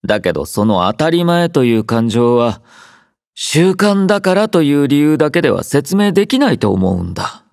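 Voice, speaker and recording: An actor playing a gentle, quietly caring young male android soldier in a Japanese game, soft-spoken, drowsy and composed, with a mildly teasing manner. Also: on the high side, sing-song, normal speed, gravelly, no room sound, clean studio sound. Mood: gentle, reflective. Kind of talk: Japanese game character voice acting.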